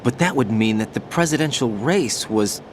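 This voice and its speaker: A man's voice: medium pitch, animated, moderate pace, noisy background, natural voice. An actor playing a young military operative in a video game, terse and incredulous, questioning what he is told. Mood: probing. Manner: short, terse, puzzled questioning. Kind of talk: dramatic video game acting.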